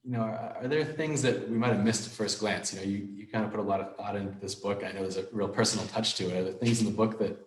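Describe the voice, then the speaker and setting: A man's voice: lowish voice, fairly even intonation, quick pace, few pauses. A man moderating a casual live question session, talking in a hesitant, rambling, conversational way over a video call. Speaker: host and moderator. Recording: roomy, echoing video-call audio.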